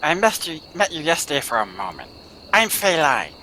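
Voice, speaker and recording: A woman's voice: sing-song, moderate pace, a little hiss or hum, exaggerated voice. Woman acting a sly, wildly swooping tiger tamer. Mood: sly, probing. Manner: theatrical, swooping between hushed menace and shrill gushing. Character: menacing female circus tiger tamer, sly and volatile. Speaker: amateur voice actor in live table read. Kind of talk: old-time radio drama acting.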